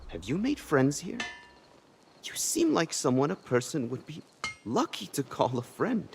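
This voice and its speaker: A man voicing a friendly, encouraging Syrian craftsman in a video game, effusive and warm. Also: on the high side, wildly swooping pitch, unhurried, creaky, slight background noise, full character voice. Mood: warm, grateful. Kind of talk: video game character dialogue.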